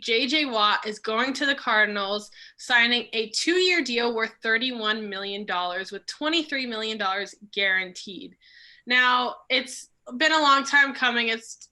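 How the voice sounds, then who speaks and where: A young woman with a very bright, sharp tone co-hosts a casual sports chat. She sounds a little raspy from a cold and talks conversationally, tossing questions to her guests. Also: a little high. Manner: conversational, asks questions, trails off quietly. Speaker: podcast co-host.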